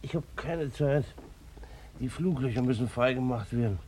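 A man's voice: mid-range voice, moderate pace, darkish tone, a little hiss or hum, natural voice. An actor in a German radio play, playing a retired bailiff and beekeeper in his sixties, dutiful, fussy and wounded, with a formal, reflective manner. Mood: busy, muttering. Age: 60s. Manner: formal, reflective, old-fashioned official's wording. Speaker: actor narrating in character.

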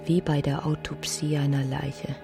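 A woman's voice: sits low, level tone, measured pace, creaky, mellow, faint music behind. An actress playing a weary, despairing woman's inner thoughts in a radio drama, brooding and dramatic. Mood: bitter. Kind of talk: radio drama interior monologue.

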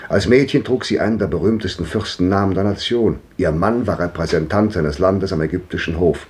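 Nostalgic old raconteur, very deep voice, 1950s radio play. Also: male voice, a little mellow. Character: old gentleman, nostalgic storyteller. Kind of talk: 1950s radio drama acting.

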